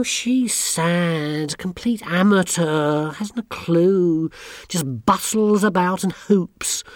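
A woman's voice: medium pitch, a little mellow, no room sound, pitched above the performer's own voice. A woman voicing a haughty, bossy teenage girl witch who talks down to everyone in a sneering, imperious way.

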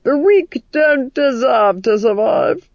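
A ruthless noblewoman from a storybook. She is haughty and imperious, speaking high and with sweeping intonation. Her orders come slowly and deliberately, in a close, dry sound. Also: lively intonation, a little mellow, no echo, lo-fi sound, heavily acted. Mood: cold, scornful. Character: haughty, ruthless, commanding duchess.

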